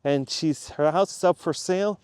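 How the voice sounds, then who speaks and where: A man from Switzerland talks straight to his viewers in a loose, rambling way, circling back to the same point again and again. His voice sits a bit higher than most men's. The sound is dry and close, with no room echo. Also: normal speed, darkish tone, clear, resonant tone. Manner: chatty and rambling, repeats himself for emphasis. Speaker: YouTube creator. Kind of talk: casual talk to camera.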